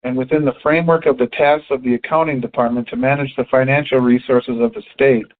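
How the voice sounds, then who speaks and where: A man co-hosting a financial conference call, explaining in measured, halting phrases over a tinny telephone line. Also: mid-range voice, fairly even intonation. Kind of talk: explanatory discussion, partly reading articles aloud.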